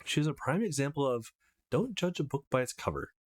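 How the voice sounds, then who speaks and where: A man's voice: medium pitch, animated, fairly quick. A man hosting a let's play, casually reading visual novel text aloud and adding his own asides.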